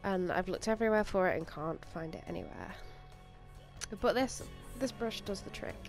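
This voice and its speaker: A woman's voice: mid-range voice, animated, moderate pace. A young woman with a slightly stuffy voice from a cold, rambling casually through a makeup tutorial with plenty of ums and likes. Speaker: makeup vlogger. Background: faint music.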